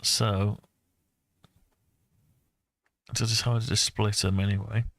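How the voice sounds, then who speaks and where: Man, creaky voice, flat intonation, casual hobby walkthrough, hesitant, musing to himself. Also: on the low side, normal speed, dry recording. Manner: hesitant, musing, talks through ideas to himself. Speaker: presenter of a hobby design project video. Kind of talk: casual screen-share design walkthrough, thinking aloud.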